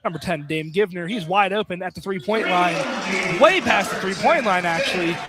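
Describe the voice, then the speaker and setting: Male radio sports announcer, notably high voice, clipped basketball play-by-play over clear gym noise. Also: animated, moderate pace. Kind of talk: live basketball radio commentary. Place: indoor college field house, courtside. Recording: noisy live gymnasium broadcast.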